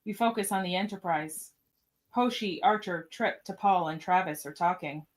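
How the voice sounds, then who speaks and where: A woman's voice: lowish voice, normal speed. A woman narrator reading scripted stage directions for radio theatre in a matter-of-fact manner.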